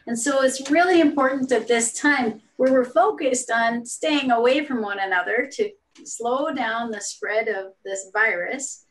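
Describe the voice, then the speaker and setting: A middle-aged woman pastor leading an online worship service from a small room at home, speaking gently and encouragingly over a video call. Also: a little high, unhurried, poor audio.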